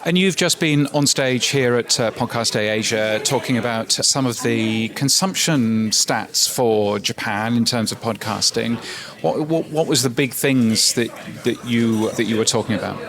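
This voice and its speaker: A man's voice: mid-range voice, a little slow, fairly bright tone. A man hosting a podcast, asking conversational questions at a conference and often restarting his sentences. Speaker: podcast host, interviewer. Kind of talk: conference interview.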